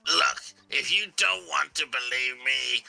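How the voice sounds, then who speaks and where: A man's voice: medium pitch, measured pace, vocal fry, sharp tone, faint music behind, exaggerated voice, rough recording. A man voicing a smug, teasing kidnapper who drawls mockingly at pursuers in a video game read-through. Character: taunting, smug kidnapper toying with pursuers on phone. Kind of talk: live video game voice-over.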